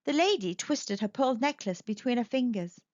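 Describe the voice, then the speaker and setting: High-voiced woman reading a children's story. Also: lively intonation, normal speed, darkish tone. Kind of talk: expressive scripted story narration. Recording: slightly dull, echoey home recording.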